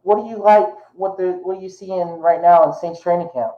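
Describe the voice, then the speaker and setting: Higher-voiced man in a roomy space. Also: brisk, highs cut off. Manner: stumbling, repeats words, friendly. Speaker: podcast host. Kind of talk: casual podcast chat.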